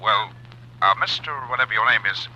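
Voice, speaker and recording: An actor playing a stuffy, cautious lawyer on the phone in a 1940s radio drama, indignant and hesitant. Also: male voice, fairly low pitch, fairly quick, sharp tone. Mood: wary. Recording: dull old radio recording.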